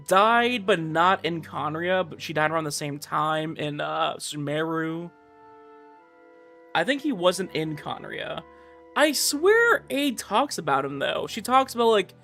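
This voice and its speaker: A man's voice: fairly high pitch, creaky, fairly bright tone. A man streaming a game, chatting casually with viewers and thinking aloud as he goes. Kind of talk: casual livestream chat over gameplay. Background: game music.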